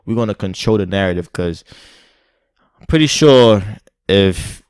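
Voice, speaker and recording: A man's voice: fairly low pitch, animated, measured pace, darkish tone, clean tone, no room sound. A young man co-hosting a podcast in casual chat, laid-back and hesitant, with many fillers. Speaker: podcast co-host.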